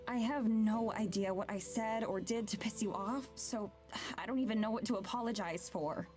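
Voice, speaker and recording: A woman's voice: mid-range voice, moderate pace, music in the background, lightly acted. An actress playing a hurt, earnest young woman in a visual novel, pleading and confrontational. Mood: hurt, frustrated.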